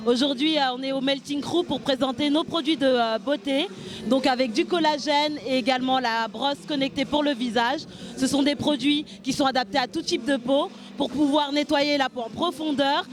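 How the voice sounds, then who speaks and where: Woman doing a sales pitch at an event, really high voice. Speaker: beauty product exhibitor. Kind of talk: promotional product pitch.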